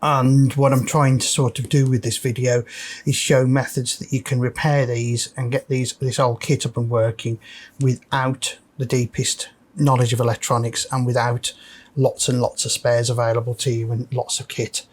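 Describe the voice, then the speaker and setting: A man's voice: medium pitch, level tone, normal speed. A man hosting a casual, unscripted repair walkthrough, thinking aloud hesitantly as he works. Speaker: host of a repair video.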